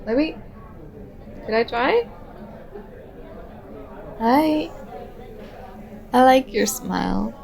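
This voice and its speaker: A woman's voice: a little high, expressive, extremely slow, gravelly, long pauses, darkish tone, some chatter behind, full character voice. A woman playing a very shy, nervous young stranger in an ASMR roleplay, talking hesitantly and self-consciously as she overthinks. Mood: giggly, encouraged. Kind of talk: ASMR roleplay, soft conversational acting.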